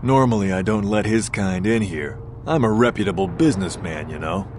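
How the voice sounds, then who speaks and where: A man's voice: fairly low pitch, animated, brisk, darkish tone, slight background noise, lightly acted. A man playing a gossipy, self-justifying hotel keeper in a video game, sounding matter-of-fact and a little prejudiced. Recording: music behind the voice.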